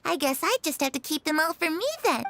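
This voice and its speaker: An actress playing a gentle, wistful teenage girl in a video game, earnest and soft-hearted. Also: sits high, slight background noise. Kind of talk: scripted video game dialogue. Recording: background music under voice.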